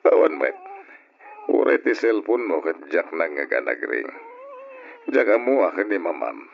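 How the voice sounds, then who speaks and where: A man's voice: sits low, big pitch swings, a little slow, vocal fry, exaggerated voice. An actor with an Ilocano accent playing a fond, concerned older father in an old-style radio drama, on a muffled, noisy recording.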